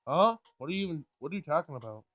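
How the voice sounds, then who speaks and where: A man doing a casual game playthrough, reading dialogue aloud and thinking out loud in informal, slangy language. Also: a little high, lively intonation, racing, dry recording, highs cut off, faint music behind. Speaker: let's play gamer.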